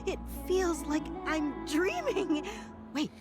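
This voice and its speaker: An actress voicing an earnest, loyal young female guard in a video game, over loud music. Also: sits high, big pitch swings, takes it slowly, exaggerated voice. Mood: awed.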